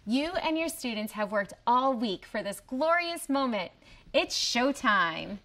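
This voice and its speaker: Lady with a pretty high voice reading a promo script as the host. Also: animated, a little slow, fairly bright tone, faint music behind. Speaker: host presenter.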